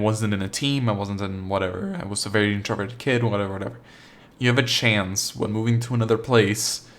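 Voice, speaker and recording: A young man from Argentina hosting a solo podcast, talking in a loose, rambling way full of restarts and filler words. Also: fairly low pitch. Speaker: podcast host. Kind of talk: casual solo podcast monologue.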